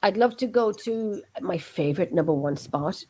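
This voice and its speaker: A woman's voice: medium pitch, animated, moderate pace, a little mellow, slight background noise. A woman with an Irish accent, hosting a casual group chat and laughing easily as she talks. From Ireland. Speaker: host.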